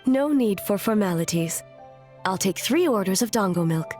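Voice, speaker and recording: A woman playing a dignified, ageless goddess ruler in a video game, speaking formally in a composed, measured way. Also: mid-range voice, lively intonation, darkish tone, faint music behind, close to an everyday voice. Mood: gracious.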